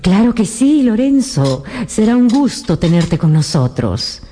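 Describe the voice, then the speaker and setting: A woman acting in a radio drama as a possessive, doting older mother with a husky voice. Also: mid-range voice, big pitch swings, a little slow, mellow, a little hiss or hum. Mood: gracious, gushing.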